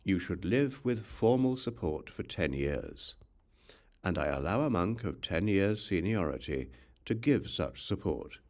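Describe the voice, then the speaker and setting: A man narrating a scripture audiobook in a measured, instructional manner. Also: low-pitched, sing-song, a little mellow. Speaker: audiobook reader.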